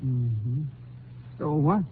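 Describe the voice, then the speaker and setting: A man's voice: mid-range voice, expressive, very slow, phone-quality sound. A man acting as a nervous, evasive young suspect who answers hesitantly and defensively in a 1950s radio crime drama. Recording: thin, noisy old radio broadcast recording.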